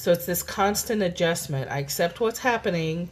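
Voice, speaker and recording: A woman hosting a live stream from home, reading a daily spiritual text and talking it through in a relaxed, unhurried way, greeting viewers by name. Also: fairly low pitch. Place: home, children in background. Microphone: phone.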